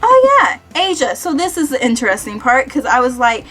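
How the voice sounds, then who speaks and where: High-voiced young American woman, chatty. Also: very animated, brisk, fairly bright tone, slight background noise. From Kentucky, United States. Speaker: vlogger. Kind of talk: casual chatty vlog. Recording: music behind the voice.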